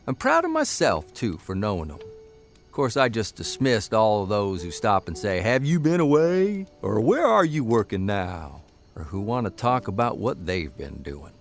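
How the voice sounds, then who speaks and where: An actor playing a wry, reflective 1930s newspaper columnist telling folksy stories in an audio drama. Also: male voice, on the low side, huge pitch swings, normal speed, vocal fry, faint music behind, exaggerated voice. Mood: amused, storytelling. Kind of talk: audio drama narration and dialogue.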